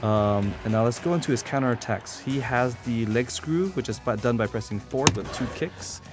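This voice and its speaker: A man's voice: mid-range voice, moderate pace. A man giving a casual, informal video game tutorial, explaining things step by step with blunt asides. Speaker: competitive gamer presenting a character guide. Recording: voice over loud game music. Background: video game music.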